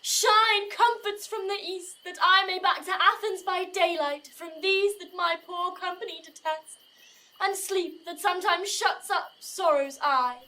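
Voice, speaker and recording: A woman acts a sorrowful young lover in Shakespearean drama. Her voice is extremely high and bright, and she declaims plaintively, lingering slowly over each phrase. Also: full character voice, poor audio. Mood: weary sorrowful.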